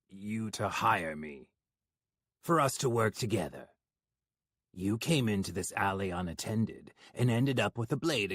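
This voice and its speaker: A man voicing a menacing young male stalker, speaking low, slow and threatening. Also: creaky, fairly bright tone, slightly rough, no room sound, studio quality, exaggerated voice.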